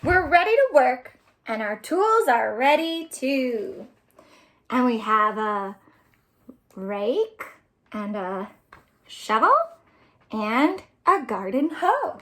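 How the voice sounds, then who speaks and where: A woman's voice: on the high side, sing-song, slow pace, pauses often, fairly bright tone. A woman hosting a children's storytime, reading aloud in an exaggerated, sing-song way for young listeners. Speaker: children's librarian, storytime host. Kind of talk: children's storytime, read-aloud and singing along.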